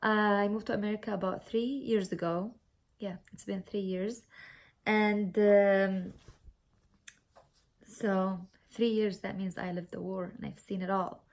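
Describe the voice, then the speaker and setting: A woman's voice: mid-range voice, level tone, a little slow. Young female beauty vlogger, Syrian Arabic accent, mid-range voice, informal tutorial chat with emphatic repetition. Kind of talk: casual makeup tutorial chat.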